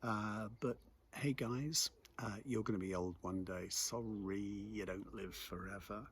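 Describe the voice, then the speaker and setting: A middle-aged English man giving a reflective spoken monologue in a measured, conversational, musing way. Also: fairly low pitch, slight background noise. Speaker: local commentator and host.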